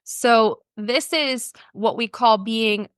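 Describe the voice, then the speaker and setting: An American woman, a therapist guest on a podcast, explaining ideas in an informal, animated conversational way. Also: fairly high pitch, takes it slowly, dry recording, clean studio sound. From New Orleans, United States.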